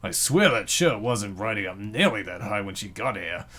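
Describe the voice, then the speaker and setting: A man's voice: lowish voice, lively intonation, moderate pace, pushed and bright, pitched below the performer's own voice. A man voicing a disapproving, incredulous young male coworker in an amateur comic dub.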